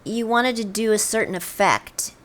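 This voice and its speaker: A woman with a soft, slightly breathy voice, co-hosting and prompting questions in casual chat. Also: mid-range voice, normal speed. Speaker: co-host.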